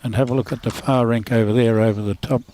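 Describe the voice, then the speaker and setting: Australian man, mid-pitched voice, laid-back bowls commentary, dry wit. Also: fairly even intonation, fast talker, warm tone, slight background noise. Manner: dry, self-deprecating humour. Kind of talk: relaxed live sports commentary.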